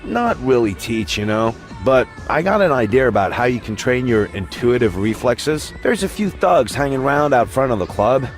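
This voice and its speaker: Guy doing a chill slacker vampire bro, slangy drawl, really lively pitch, game cutscene w faint music. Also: normal speed, hardly any pauses, close to an everyday voice. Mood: laid-back, chummy. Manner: slangy stoner-like drawl, chummy. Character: laid-back slacker male vampire, chummy club bouncer. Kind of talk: video game cutscene acting.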